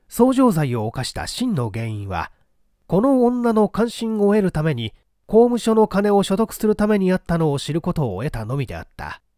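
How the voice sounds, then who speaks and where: Male narrator for mystery audiobook: highish, animated intonation, scripted reading. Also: no room sound, clean studio sound.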